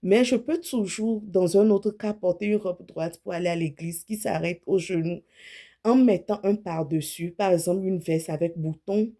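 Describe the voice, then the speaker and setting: A woman in her late thirties talks to her viewers like a friend, giving clothing advice with easy frankness. Her voice is warm and mellow, and she often stops to rephrase or correct a word as she goes. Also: lowish voice, moderate pace. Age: 30s. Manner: chatty, self-correcting, speaks frankly about her own body. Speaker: image consultant. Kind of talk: casual talk to camera.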